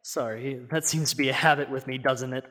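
A young man plays a courteous villain with a sinister core in an old-style radio thriller. His voice is medium in pitch and rings through a long hall reverb. He speaks deliberately and theatrically. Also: steady tone, fairly bright tone, lightly acted. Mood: polite. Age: young adult. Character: outwardly polite, secretly sinister male villain, a restorer. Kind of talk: classic radio drama acting.

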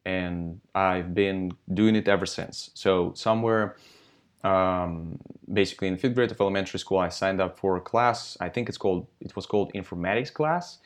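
Casting: low-voiced man, 30s, tech founder, halting answers. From Russia. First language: Russian. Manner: halting, lots of fillers. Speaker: guest, software company founder. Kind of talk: casual interview answers.

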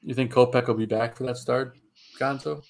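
A man's voice: medium pitch, fairly even intonation, normal speed, gravelly. A man hosting a sports podcast, joking around as he runs the segments, sniffling. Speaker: podcast host. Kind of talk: casual sports chat.

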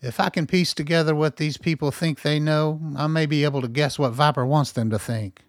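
A man's voice: a little high, steady tone, brisk, no echo, studio quality, lightly acted. An actor playing a worried, guarded male scientist in a radio play, speaking earnestly. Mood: uneasy.

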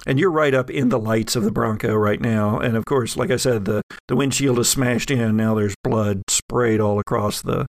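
A man acting as game master, narrating a horror tabletop game in a halting, descriptive way. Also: fairly low pitch.

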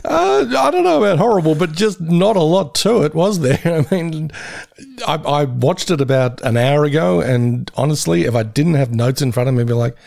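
Gravelly, lively Australian man. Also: fairly high pitch. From Geelong, Australia. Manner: easygoing, laughs often, measured. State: slightly hoarse. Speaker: podcast co-host. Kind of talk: casual chat.

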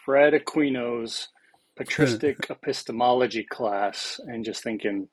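A man's voice: medium pitch, measured pace, husky, low-quality recording. A man in his forties who grew up in South Africa, talking casually as a podcast guest, reflective and laughing often. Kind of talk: casual conversation.

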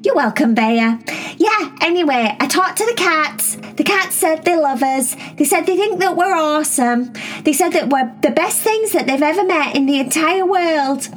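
A woman voicing an excitable, chatty young female cat who gushes and rambles in a storybook. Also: sits high, normal speed, pitched above the performer's own voice. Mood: excited. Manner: gushing, breathless, rambling.

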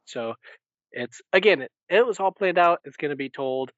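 A man's voice: fairly high pitch, lively intonation, moderate pace, no room sound. A man co-hosting a podcast in a casual fan discussion, recorded in dry, dull, lo-fi sound. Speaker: podcast co-host.

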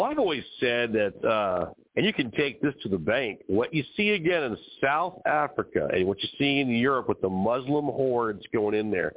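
Man, radio host, emphatic and ranting, lively swooping pitch, narrow phone-like sound. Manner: emphatic, ranting, addresses listeners directly. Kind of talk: opinionated call-in radio talk.

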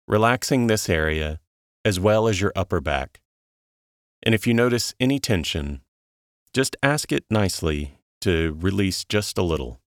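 A man narrating a guided relaxation in a calm, soothing, measured way, leaving long pauses between instructions. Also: low voice, no room sound, clean studio sound.

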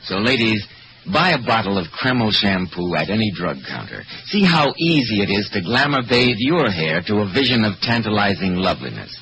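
Animated American man, vintage radio announcer. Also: lowish voice, moderate pace, background noise. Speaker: radio announcer and program host. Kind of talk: 1940s radio announcing and sales pitch. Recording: vintage 1940s radio broadcast with music.

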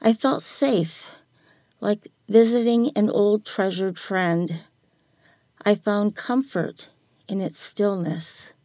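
Older woman, story reading, unhurried pace, gravelly creak, mellow tone, close dry sound. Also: medium pitch, dry recording. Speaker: guest writer reading own story.

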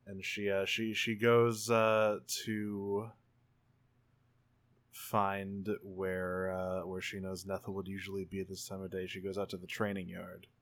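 A man's voice: low-pitched, completely flat delivery, a little slow, fairly bright tone. A man playing a tabletop roleplaying game, casually improvising what his character does in an informal, hedging way. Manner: informal, hedging, self-repeating.

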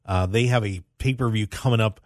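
A man's voice: lowish voice, brisk, no echo, studio quality. A man hosting a sports talk radio show in a casual, conversational way, addressing his listeners directly.

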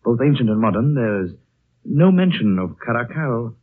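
Cultured, mild English gentleman, medium-pitched and husky, formal manners, lo-fi vintage radio drama. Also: sing-song, moderate pace. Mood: respectful, curious. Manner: polite, diffident, formal. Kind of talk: 1930s radio drama acting. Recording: muffled, narrow-band old radio broadcast.

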